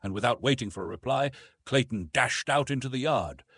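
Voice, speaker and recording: A man's voice: mid-range voice, brisk, gravelly, a bit bright, husky, no room sound. A man reading an adventure novel aloud as dramatic scripted narration. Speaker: audiobook narrator.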